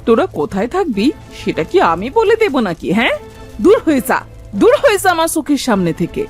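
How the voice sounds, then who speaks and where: A woman's voice: high voice, huge pitch swings, fairly quick, faint music behind. A woman voicing a cruel stepmother in a cartoon, harsh, bossy and taunting as she scolds. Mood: spiteful, taunting. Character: cruel, scolding stepmother of two orphaned sisters. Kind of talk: Bengali cartoon voice acting.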